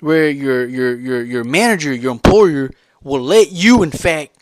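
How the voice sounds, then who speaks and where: A man's voice: mid-range voice, expressive, unhurried, no room sound. A man ranting loosely to camera in a vlog, repeating himself and swearing for emphasis. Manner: rambling, repetitive, profane and emphatic. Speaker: vlogger. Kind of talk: unscripted rant to camera.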